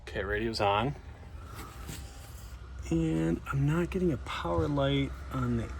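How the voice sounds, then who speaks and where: A man's voice: medium pitch, lively intonation, a little slow, vocal fry, slight background noise. A man giving a casual, informal hands-on narration to viewers, thinking aloud as he works on a vehicle. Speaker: DIY car vlogger and host. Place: in and around a van.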